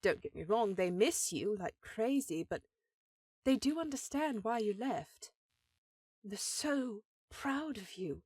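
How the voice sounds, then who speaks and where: A woman's voice: a little high, expressive, slow pace, darkish tone, no echo, clean studio sound. A woman playing a powerful elven sorceress in a fantasy audio drama, an encouraging sister who talks in an earnest, affectionate way.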